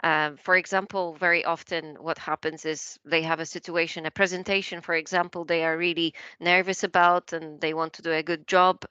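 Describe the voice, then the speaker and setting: A woman's voice: fairly low pitch, little pitch movement, a little fast, few pauses, pushed and bright, clean tone, dry recording, highs cut off. A woman language coach, Polish by first language, chatting in a podcast interview, with frequent fillers, restarts and laughs.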